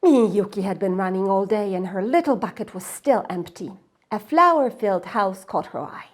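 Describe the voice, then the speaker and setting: A woman reading a children's story aloud in a dramatic, expressive way, sometimes dropping to hushed, breathy phrases. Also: mid-range voice, moderate pace.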